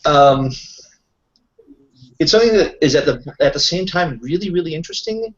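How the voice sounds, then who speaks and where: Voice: mid-range voice, lively intonation, normal speed, muffled recording. A roleplay player improvising casual in-character talk as an affable tech contractor, explaining things in a hesitant, rambling way. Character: Kyle, affable self-employed tech contractor.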